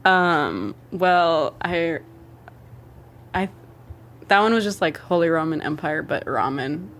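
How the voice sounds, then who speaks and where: A woman chats casually and offhand with an interviewer. She takes her time, and her pitch moves around in a lively, expressive way. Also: slow pace. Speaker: housemate being interviewed.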